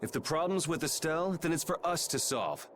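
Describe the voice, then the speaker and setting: A man's voice: a little high, animated, fairly quick, a bit bright. A man playing a defiant, sardonic young hero in a video game, speaking casually and bluntly with a cocky edge. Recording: clear background noise under game dialogue.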